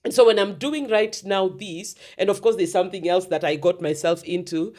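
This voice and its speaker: A woman host with a deep, heavy voice, chatting at length in a casual, storytelling way. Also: fairly even intonation, normal speed, darkish tone. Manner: talkative, storytelling, mixes in Swahili words. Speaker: podcast host and therapist. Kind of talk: casual conversational interview.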